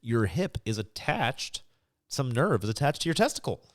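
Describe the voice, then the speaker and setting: An American man hosting a comedic podcast in a casual, joking and theatrically expressive way. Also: medium pitch, moderate pace, no echo, studio quality. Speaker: podcast host.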